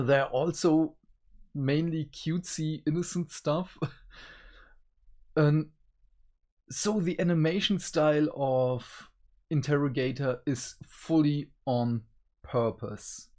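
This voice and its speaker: A man's voice: fairly high pitch, darkish tone. A man co-hosting a review show in casual chat, speaking hesitantly as he searches for words. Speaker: co-host of an animation review show.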